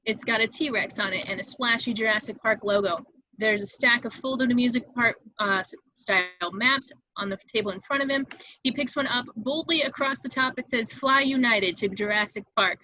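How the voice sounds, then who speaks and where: A woman's voice: a little high, normal speed, sharp tone, no room sound. A woman reading stage directions as the narrator of a script table read, in a slightly dull, dry home recording.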